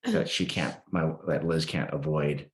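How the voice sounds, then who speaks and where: A man hosting a conversational podcast interview as a police officer, speaking in a measured, hesitant way. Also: very deep voice, steady tone.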